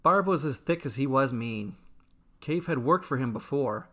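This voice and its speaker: A man narrates a fantasy story from a script. His mid-pitched voice has a bright, forceful edge. He phrases deliberately and dramatically, in short measured units. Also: animated.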